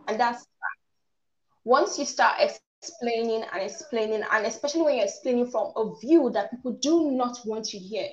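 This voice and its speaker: Woman, straightforward and opinionated, fairly high voice, dull lo-fi stream audio. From Nigeria. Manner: direct, opinionated, lots of "like". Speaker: guest panelist. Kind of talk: live online discussion.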